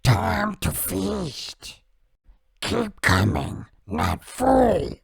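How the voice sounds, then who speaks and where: A man voicing a hungry, menacing video game monster in a gravelly, raspy voice. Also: high voice, wildly swooping pitch, drawn-out words, no room sound, studio quality, exaggerated voice. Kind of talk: video game character impression.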